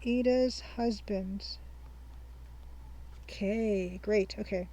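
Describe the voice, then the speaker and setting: A woman livestreaming, chatting casually as she plays, reading lines back slowly while she takes notes. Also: mid-range voice, mellow, poor audio. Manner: thinks aloud, reads game lines back slowly while taking notes. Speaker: livestreaming artist and game developer.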